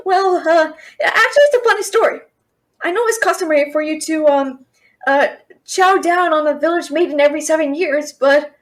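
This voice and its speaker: A very high-pitched young female thief, acted by a woman in a fantasy audio drama. She is cheeky but scared, stammering as she tries to bluff and talk her way out of trouble. Her delivery is nervous and theatrical. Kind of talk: audio roleplay acting, fantasy.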